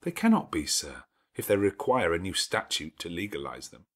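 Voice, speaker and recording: A man's voice: deep voice, wildly swooping pitch, a bit bright, exaggerated voice. Man reading as a grave, restrained young governess. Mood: firm, cautious. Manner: measured, restrained, formal. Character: grave, composed, principled young English governess. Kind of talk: dramatized classic novel audiobook reading.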